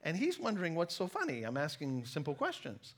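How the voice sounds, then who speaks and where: American man preaching in a higher-pitched voice. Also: huge pitch swings, background noise. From United States. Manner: conversational, emphatic with deliberate pauses, self-deprecating. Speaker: preacher, former missionary. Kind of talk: sermon with personal storytelling.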